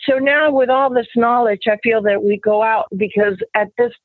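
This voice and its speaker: A woman's voice: a little high, moderate pace, no echo. An older woman from Cuba, an activist guest talking emphatically in an interview. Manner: emphatic, animated storytelling. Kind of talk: conversational interview. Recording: tinny phone line.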